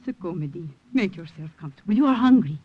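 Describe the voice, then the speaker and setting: A woman's voice: fairly low pitch, expressive, fast talker, breathy edge, muffled recording. An actress playing a doting, steadfast elderly Italian grandmother with an Italian accent in an old-time radio drama. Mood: firm, caring. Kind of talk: 1940s-50s radio drama acting.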